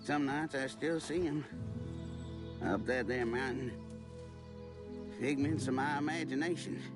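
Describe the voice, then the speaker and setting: An actor playing a folksy, rueful rural storyteller with a drawling Southern American accent in a video game. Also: male voice, mid-range voice, a little slow, close to an everyday voice. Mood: haunted, folksy. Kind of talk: video game character acting. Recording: loud music behind the voice. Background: music.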